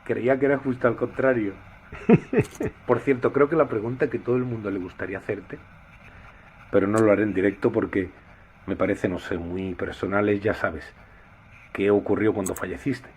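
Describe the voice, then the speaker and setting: Man playing nosy radio interviewer, low voice, informal, hesitant, comic sketch, faint ambient noise. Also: steady tone, normal speed, long pauses, darkish tone, close to an everyday voice. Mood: chatty, curious. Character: bumbling, nosy male radio interviewer caught off guard on air.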